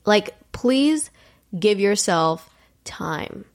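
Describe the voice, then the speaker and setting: A woman's voice: medium pitch, expressive, very slow. A young woman co-hosting a podcast from her apartment, talking casually with lots of fillers, slightly nasal from a cold. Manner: chatty, rambling, lots of fillers. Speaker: podcast co-host. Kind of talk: casual chat. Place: apartment, seated at a table.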